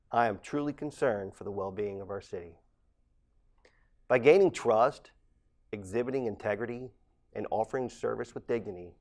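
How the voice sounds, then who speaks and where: A man, giving a measured, earnest campaign statement as a candidate for council president. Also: on the low side. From Ohio, USA. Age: late 40s.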